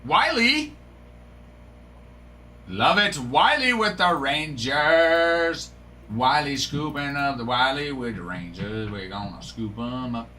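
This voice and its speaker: A man's voice: mid-range voice, animated, takes it slowly, bright, forceful tone, a little hiss or hum. Chatty man hosting a card break. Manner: rambling, chatty, talking to chat viewers. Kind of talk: casual live-stream chat.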